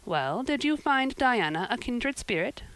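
A woman's voice: on the high side, very animated, measured pace, fairly bright tone, no echo, voice pushed up, low-quality recording. A woman playing a stern older woman in a storybook, dry, sarcastic, firm, close dry sound.

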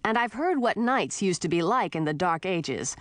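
A woman's voice: fairly low pitch, lively intonation, moderate pace, dry recording, studio quality, natural voice. A woman voicing an eager, stubborn young man with a teasing, gallant streak in a storybook reading. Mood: determined, teasing.

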